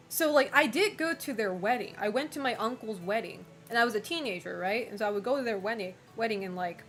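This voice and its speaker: A young woman streamer in casual chat, frank and talkative, laughing often and filling pauses with "like" and "um". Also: a little high, a bit bright. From United States and Japan. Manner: chatty, frank, laughs often, many fillers. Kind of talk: casual chat reading viewer messages.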